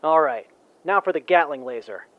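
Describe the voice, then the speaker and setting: A man playing an eager, excitable young tinkerer in a post-apocalyptic audio drama, earnest and polite. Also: a little high, moderate pace, no room sound, phone-quality sound, close to an everyday voice.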